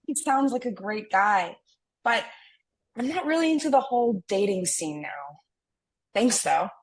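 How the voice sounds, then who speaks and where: A woman's voice: medium pitch, animated, unhurried, breathy edge. A woman playing an earnest, outspoken Millennial American twin in a romcom table read. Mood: awkward, polite.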